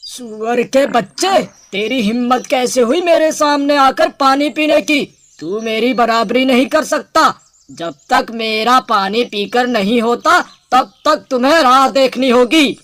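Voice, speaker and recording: Brief: female performer for a haughty, bullying male camel in an animal cartoon; slow, boastful delivery, close dry sound. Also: a little high, a bit bright, no room sound. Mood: scornful. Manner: boastful, scornful. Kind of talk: animated animal moral story.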